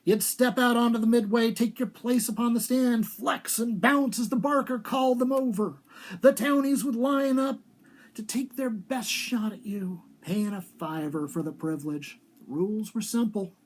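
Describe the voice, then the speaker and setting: A man's voice: very high-pitched, moderate pace. Man reading aloud, theatrical and emphatic. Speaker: author reading own short story. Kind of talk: live dramatic literary reading.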